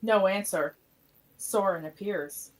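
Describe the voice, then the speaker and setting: A woman's voice: mid-range voice, takes it slowly, rough recording. A woman reading scripted stage directions as the narrator of a radio theatre piece. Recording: noticeable background noise, clicks.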